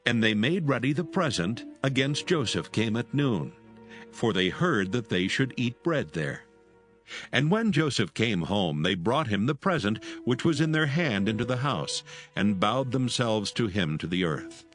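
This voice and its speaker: A man's voice: mid-range voice, big pitch swings, measured pace, fairly bright tone, soft background music. A man narrating solemn scripture as part of a dramatised audio Bible.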